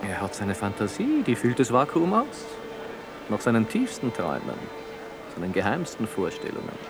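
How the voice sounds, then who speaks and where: A man's voice: lowish voice, wildly swooping pitch, normal speed, over music. An actor playing an opinionated man who theorises emphatically in a conversational radio play scene. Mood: assertive, provocative. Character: opinionated man theorising boldly about the seducer's lust.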